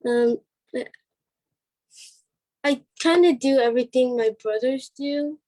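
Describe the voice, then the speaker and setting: A woman's voice: high-pitched, slow pace, mellow, dry recording. A woman being interviewed as a performer, chatting casually with brief, hesitant answers. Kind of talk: casual chat.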